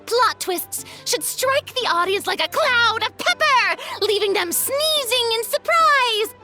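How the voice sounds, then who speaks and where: A woman's voice: very high-pitched, lively intonation, slow, sharp tone, full character voice. A woman voicing a flamboyant, playful and grandiose showrunner in an anime game, speaking with theatrical flourishes over music. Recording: music behind the voice.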